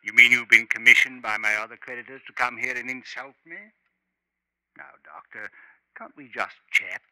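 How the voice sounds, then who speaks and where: An actor in a 1950s radio drama playing a genteel, evasive professor in debt with murder in him, suavely polite and theatrical. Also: male voice, medium pitch, steady tone, normal speed, sharp tone, no room sound, rough recording. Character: genteel, slippery debtor professor turned murderer. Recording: vintage 1950s radio recording.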